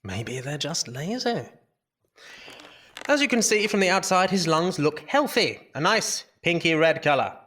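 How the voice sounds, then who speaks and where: Victorian doctor, audio drama: didactic, brisk, very animated delivery, sharp tone, emphatic pauses. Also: male voice, a little high, exaggerated voice. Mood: confident, dismissive. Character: brisk, dismissive Victorian doctor lecturing at a dissection. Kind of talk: audio drama acting, lecturing.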